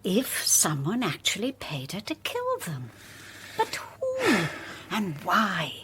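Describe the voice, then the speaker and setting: An actress playing a brisk, analytical woman investigator in a radio drama, breathy and precise in delivery. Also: medium pitch, huge pitch swings, noisy background. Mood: pondering.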